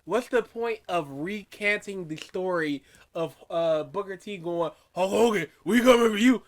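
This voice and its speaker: A man's voice: sits high, measured pace. A man co-hosting a casual podcast, firing off incredulous rhetorical questions. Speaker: podcast co-host.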